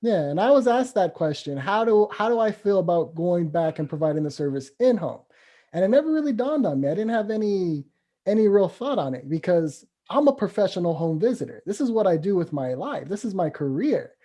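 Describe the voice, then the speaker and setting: A man who works as a professional home visitor, speaking in a steady, encouraging way and asking follow-up questions in a casual podcast chat. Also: high-pitched, brisk.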